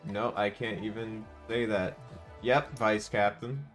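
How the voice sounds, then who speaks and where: Male game streamer: deep voice, unhurried, laid-back casual commentary, game music underneath. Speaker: livestreamer playing a video game.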